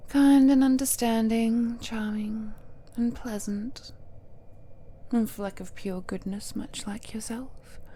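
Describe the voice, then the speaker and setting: A woman's voice: mid-range voice, dark tone, a little hiss or hum, close to an everyday voice. A woman playing an ancient cursed deity, a possessive villainess who is tender yet menacing, speaking intimately and often dropping into whispers in an audio roleplay. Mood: wistful, fond.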